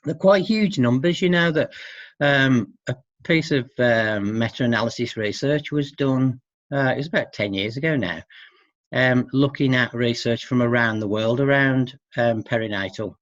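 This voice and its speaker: A middle-aged man speaking as an expert guest in an informal interview, hesitant and halting, often seeking agreement with tag questions. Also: mid-range voice, a bit bright, dry recording. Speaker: expert guest who works with men. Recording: dull, muffled lo-fi audio.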